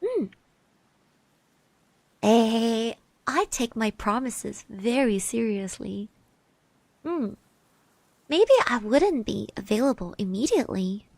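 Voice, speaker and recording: A woman doing an ASMR roleplay as a caring girl classmate, soft-spoken and hesitant, close to the mic and often slipping into breathy whispers. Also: fairly high pitch, animated, takes it slowly, long pauses, dry recording. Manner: hesitant, gently reassuring, speaks of herself in third person. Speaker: ASMR roleplay performer, streamer. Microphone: close microphone.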